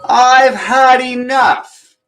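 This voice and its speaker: A man's voice: very high-pitched, fairly even intonation, very slow, sharp tone, soft background music, voice pushed up, exaggerated voice. A man voicing a bossy, fed-up older queen from a storybook, scolding her son with theatrical emphasis. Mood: exasperated, scolding. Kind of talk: storybook character voice.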